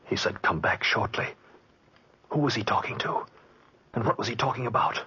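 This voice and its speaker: An actor playing an exhausted, frightened traveler telling of a terrible night, husky and haunted, in 1940s radio drama style. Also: male voice, mid-range voice, moderate pace, pushed and bright, full character voice. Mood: haunted, tense. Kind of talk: 1940s radio acting, first-person suspense narration. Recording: muffled vintage 1940s radio recording.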